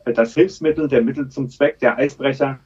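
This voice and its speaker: A man's voice: medium pitch, steady tone, fairly quick, a little mellow, husky, muffled recording. A man in his thirties from Berlin, a podcast guest telling his story in a chatty way with many fillers. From Berlin, Germany. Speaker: podcast guest, charity campaign manager. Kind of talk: casual conversational interview.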